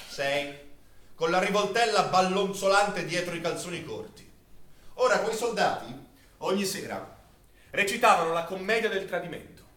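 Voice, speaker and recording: Wartime villager witness, expressive and bitter radio-play monologue, high voice, echoing room. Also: male voice. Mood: bitter, sardonic. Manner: expressive, dramatic storytelling delivery. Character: Italian villager witness recounting wartime German occupation, bitter, vivid. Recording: roomy, distant stage recording with faint noise.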